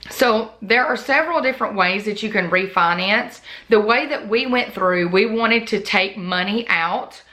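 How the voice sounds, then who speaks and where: A woman's voice: medium pitch, bright, forceful tone. A woman vlogger chatting off the cuff about her budget in long, run-on stories, her voice still a little worn from recovering. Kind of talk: casual off-the-cuff chat to camera.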